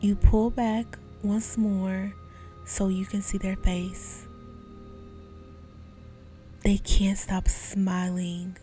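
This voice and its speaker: A woman guiding a visualization meditation in a soft, slow, soothing voice, often dropping to a whisper. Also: mid-range voice, level tone, faint music behind. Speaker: meditation guide.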